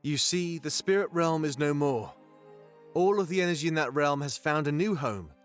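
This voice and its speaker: An actor playing a fervent rebel leader in a fantasy video game, giving grandiose, oratorical speeches. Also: male voice, a little high, moderate pace, lightly acted. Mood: earnest, rousing. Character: fervent male rebel leader, an anthropomorphic animal rallying his fighters. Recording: music behind the voice. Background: music.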